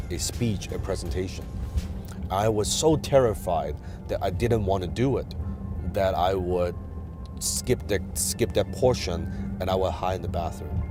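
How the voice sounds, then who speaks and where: A man from Hong Kong whose first language is Chinese, hosting a casual advice talk and telling his story with many fillers and restarts. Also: sits low. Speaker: host. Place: in a car.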